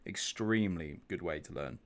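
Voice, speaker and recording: A man's voice: low voice, normal speed. A man hosting a live trading stream, chatting through chart analysis, thinking aloud with restarts and asking viewers for their views. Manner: chatty, thinks aloud, repeats words, asks viewers questions. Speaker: trading group host.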